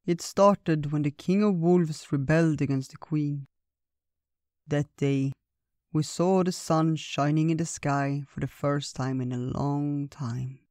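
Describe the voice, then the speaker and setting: A wise old bear from a storybook , as a man puts him on, gentle and unhurried. He speaks slowly and warmly, like a grandfather telling tales. The recording is dry and close. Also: fairly high pitch, fairly even intonation, no echo. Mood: kindly. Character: kindly wise old male bear, slow-spoken.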